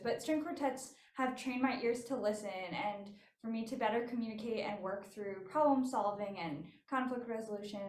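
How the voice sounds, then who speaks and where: A woman's voice: on the high side, slight background noise. A young adult woman with an American accent, a violinist answering interview questions conversationally. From Chicago, United States. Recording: echoey room.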